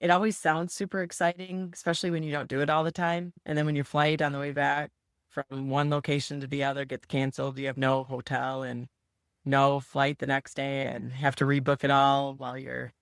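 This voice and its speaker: A middle-aged woman with a hoarse voice, co-hosting a casual podcast chat with a chatty, easygoing manner. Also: a bass voice, little pitch movement, no room sound, clean studio sound. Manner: chatty, quick asides, frequent laughs. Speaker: podcast co-host.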